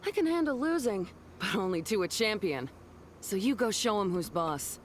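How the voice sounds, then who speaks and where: Woman voicing gracious female rival, medium pitch, good-natured, proud, video game, faint background noise. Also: animated, moderate pace, close to an everyday voice. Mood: wry acceptance. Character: gracious defeated tournament rival, proud and sporting.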